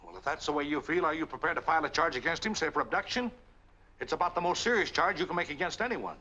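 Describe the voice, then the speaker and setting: A man's voice: fairly high pitch, expressive, quick pace, pushed and bright, poor audio. A man acting in a 1940s film as a skeptical police captain, authoritative and dismissive, on an old film soundtrack. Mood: stern, skeptical. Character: brisk, skeptical small-town police captain.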